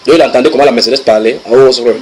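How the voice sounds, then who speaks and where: A man preaching a sermon to a congregation, reading English passages aloud and translating them with lively asides to his listeners. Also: mid-range voice, very fast, a little hiss or hum. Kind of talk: sermon teaching.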